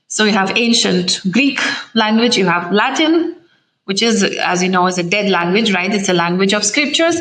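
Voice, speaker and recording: A middle-aged woman from Lithuania, speaking English with a Lithuanian accent, answering interview questions as an ambassador in a measured, explanatory way with frequent fillers and restarts. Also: mid-range voice, a bit bright.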